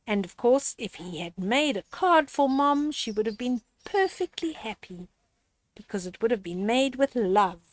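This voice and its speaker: An older woman reading a picture book aloud to young children and explaining its lessons in a gently instructive way, speaking to them directly. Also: fairly high pitch, dry recording. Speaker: storyteller reading children's books. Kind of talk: read-aloud picture book with moral commentary.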